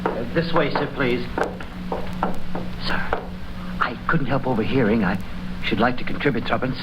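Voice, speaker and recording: A humble, kindly male clerk played by a radio actor, timid and hesitant, forever deferring to his master. The vintage broadcast is dull, with clear noise over it. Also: medium pitch, moderate pace, natural voice. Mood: timid, eager. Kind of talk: old-time radio drama acting. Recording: noisy, muffled old radio recording.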